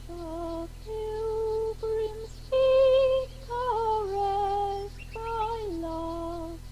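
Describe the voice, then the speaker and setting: A woman's voice: very high voice, exaggerated voice, rough recording. A woman acting an ethereal, playful muse spirit in a radio play, lyrical and insistent. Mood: hushed, tender. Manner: lyrical, teasing, dreamy.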